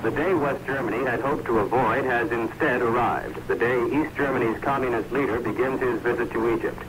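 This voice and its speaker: Male radio correspondent, scratchy old recording. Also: mid-range voice. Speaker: foreign news correspondent. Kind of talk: scripted 1960s radio news report.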